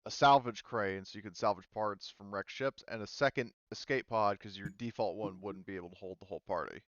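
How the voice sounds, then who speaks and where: Guy game master, clean smooth voice, kinda hesitant, dry slightly muffled audio. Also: lowish voice, a little fast, a bit bright, no room sound. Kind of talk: running an online tabletop roleplaying game. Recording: slightly dull, lo-fi voice-chat audio.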